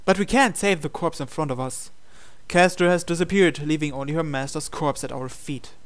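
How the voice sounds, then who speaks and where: Clear, higher-pitched man narrating a game. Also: normal speed. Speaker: host reading a game aloud. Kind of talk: dramatic narration of visual novel text.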